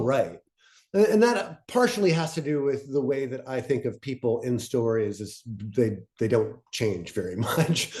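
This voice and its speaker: A man's voice: mid-range voice, dark tone. A man giving a casual, unscripted workshop talk as a panelist, explaining things step by step in a conversational way. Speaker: panelist presenting on fiction podcast pitching.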